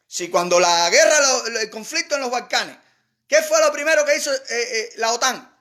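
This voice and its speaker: High, bright-voiced Cuban man ranting. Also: expressive, normal speed. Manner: emphatic, repeats words for stress. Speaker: political commentator. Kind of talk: impassioned political monologue.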